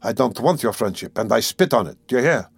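A man's voice: mid-range voice, expressive, brisk, creaky, slightly rough, no echo. A man voicing a haughty, tormented young suspect in an audiobook, imperious and defiant. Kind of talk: dramatic audiobook character reading.

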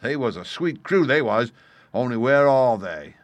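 A man voicing a scheming, swaggering pirate ringleader in a storybook, speaking forcefully in rustic seafaring dialect. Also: medium pitch, expressive, a little slow, no echo, voice pushed up, close to an everyday voice. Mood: gloating. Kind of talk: dramatised storybook reading.

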